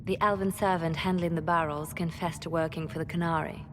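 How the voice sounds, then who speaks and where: Low-voiced, composed spymaster played by a woman. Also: fairly even intonation, brisk, slight background noise, natural voice. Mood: urgent. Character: composed, grave female spymaster, calculating and urgent. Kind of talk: dramatic video game acting.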